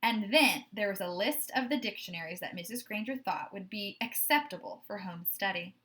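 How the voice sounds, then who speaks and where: Bright-voiced woman reading a children's story. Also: medium pitch, lively intonation, moderate pace. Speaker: teacher reading aloud. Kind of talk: expressive children's read-aloud narration.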